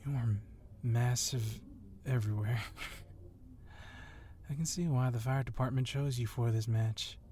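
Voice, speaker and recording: A man's voice: mid-range voice, steady tone, slow pace, long pauses, dark tone, slight background noise, close to an everyday voice. A man playing an agile, confident police officer with a casual, flirtatiously admiring manner in an ASMR roleplay. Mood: admiring, flirty. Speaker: voice actor in audio roleplay.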